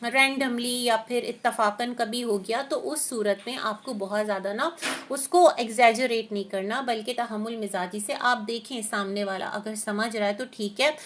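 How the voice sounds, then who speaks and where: A woman reaction vlogger with a sore throat, giving opinionated, explanatory commentary with frequent hesitant pauses. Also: on the high side, steady tone, fairly bright tone, a little hiss or hum.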